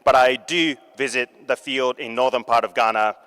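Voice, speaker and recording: A man from Japan giving a startup competition pitch, upbeat and joking with the audience. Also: fairly even intonation, moderate pace, a bit bright, smooth. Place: large hall. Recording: long hall reverb.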